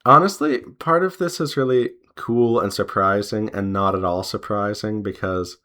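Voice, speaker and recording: A man chatting casually as he draws, rambling in an unhurried way and pausing mid-sentence. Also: on the low side, a bit bright. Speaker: artist and devlog host. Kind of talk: casual chat while drawing.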